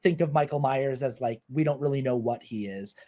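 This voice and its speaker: A man hosting a film podcast, talking casually in a halting way, often circling back to correct himself. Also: medium pitch, dark tone.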